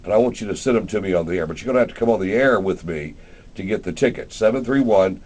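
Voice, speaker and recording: A man's voice: on the low side, fast talker, a little mellow. A man hosting a casual live radio interview in an informal, rambling, conversational way. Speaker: radio show host.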